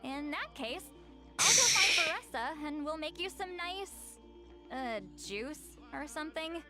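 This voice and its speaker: A woman playing an awkward, gruff granny figure in a fantasy video game, with a hesitant, dry delivery. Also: high voice, animated, unhurried. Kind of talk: video game character acting. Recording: loud game music behind the voice.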